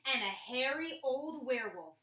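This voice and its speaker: A woman teacher reading a picture book aloud to children in an expressive, encouraging way. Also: sits high, normal speed. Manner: expressive, encouraging, asks the listener questions. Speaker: elementary school teacher. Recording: echoey room.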